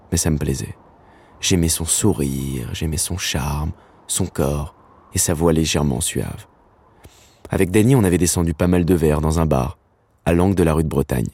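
A dreamy stubborn thirtysomething writer telling his story, really low husky voice, podcast drama. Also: a little mellow, no room sound, full character voice. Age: 30s. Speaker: narrator and lead character. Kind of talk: first-person fiction podcast narration.

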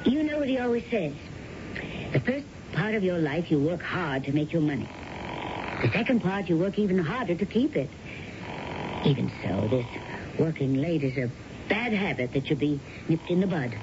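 An actress playing a genteel, neglected, anxious wife in a radio drama, with theatrical, halting phrasing. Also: female voice, on the low side, big pitch swings. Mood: politely gracious. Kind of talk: old-time radio drama acting. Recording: muffled, noisy 1970s radio recording.

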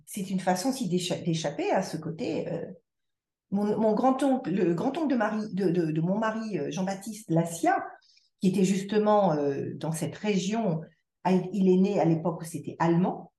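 A woman's voice: medium pitch, moderate pace, mellow, echoey room. A woman speaking as a podcast guest in reflective conversation, circling back and restarting her sentences as she thinks aloud. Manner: reflective, many restarts and repetitions. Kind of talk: conversational interview.

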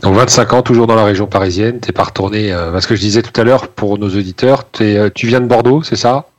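A man hosting a radio show, relaxed and joking with short replies. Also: fairly low pitch, quick pace. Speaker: radio host. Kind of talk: casual radio chat.

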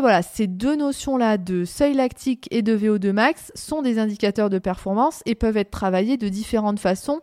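A woman's voice: mid-range voice, a little mellow, dry recording, clean studio sound. A young woman hosting a running show, explaining things in a casual, thinking-aloud way with frequent hesitations and restarts. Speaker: running podcast host.

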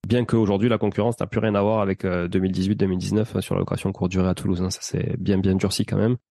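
A man's voice: low-pitched, flat delivery, quick pace, creaky, dark tone, no echo, clean studio sound. A man speaking as a podcast guest in a casual interview, explaining his investing experience in an informal, slangy way. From Portuguese family background.

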